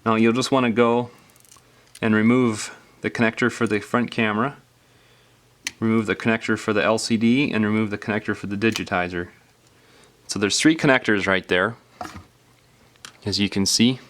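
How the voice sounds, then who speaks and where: A man's voice: fairly low pitch, steady tone, normal speed, pauses often, fairly bright tone. A man giving casual, step-by-step hands-on instructions as a repair tutorial presenter, patiently explaining in an informal, folksy way.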